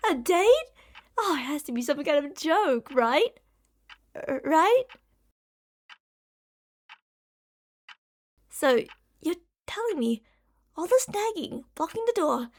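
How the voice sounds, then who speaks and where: A woman's voice: high-pitched, pushed and bright, heavily acted. A woman playing an impatient, obsessive female mad scientist in an ASMR roleplay, theatrical and condescending. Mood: mocking, indignant.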